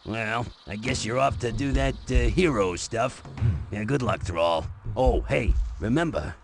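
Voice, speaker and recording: A man playing a cocky, joking goblin zeppelin pilot with a gravelly voice in an animated game. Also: mid-range voice, a little slow. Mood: breezy, teasing. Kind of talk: animated adventure game voice acting. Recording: clear background noise.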